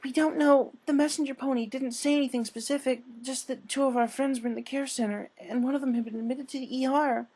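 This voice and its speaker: A man voicing a panicked, soft-spoken young female pony in a high, thin, breathy voice with an urgent, timid manner. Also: steady tone, fairly quick, hardly any pauses.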